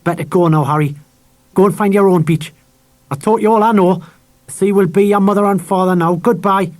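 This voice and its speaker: A man's voice: high voice, a little fast, voice pushed up, natural voice. A man voicing an eccentric, blunt and fatherly beachcombing loner for a children's audiobook. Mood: blunt farewell. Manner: exclamatory, shouting when excited. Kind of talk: audiobook character acting. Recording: audiobook transferred from cassette tape.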